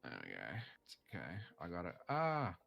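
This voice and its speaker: A young Australian man chatting casually while streaming a game, relaxed and laughing easily, with frequent ums. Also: sits low, brisk, creaky, dull audio, a little hiss or hum. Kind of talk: casual chat while gaming.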